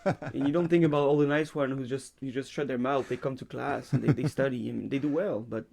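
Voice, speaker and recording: A young man, a university lecturer, chatting casually on a podcast and telling animated stories about his students. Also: medium pitch, brisk, gravelly. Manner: animated storytelling, acts out his students and himself; frequent fillers and restarts. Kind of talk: casual chat.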